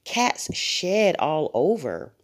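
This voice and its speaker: A woman voicing a sleepy, startled mother in a children's storybook, objecting in drawn-out phrases. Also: on the low side, sing-song, pitched above the performer's own voice, full character voice. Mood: disapproving.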